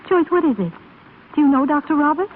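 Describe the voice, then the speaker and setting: Gentle blind woman, high voice, yearning, halting emotional delivery, 1930s radio drama, thin hissy broadcast. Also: lively intonation. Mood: flustered. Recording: scratchy, phone-like 1930s radio broadcast recording.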